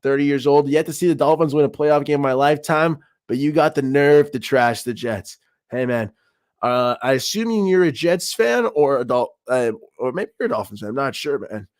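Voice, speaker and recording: A man's voice: medium pitch, expressive, normal speed, no room sound, clean studio sound. Hyped man trash-talking on a stream. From Peru. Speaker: podcast host. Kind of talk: live-stream sports talk.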